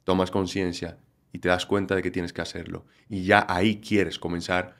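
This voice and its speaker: A Dominican man with a Galician-tinged Spanish accent, explaining things in a lively way as a guest on an interview podcast. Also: low-pitched, moderate pace. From Dominican Republic. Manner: animated, explanatory, acts out quotes. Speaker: communication trainer, guest.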